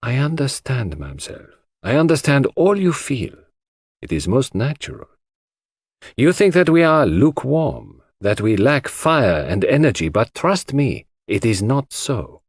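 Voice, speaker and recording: Audiobook role: courteous foreign male detective acted by a man, formal, grave and emphatic, lifted pitch. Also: very animated, measured pace, breathy edge, no room sound, studio quality, exaggerated voice. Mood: sympathetic. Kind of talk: audiobook character voice.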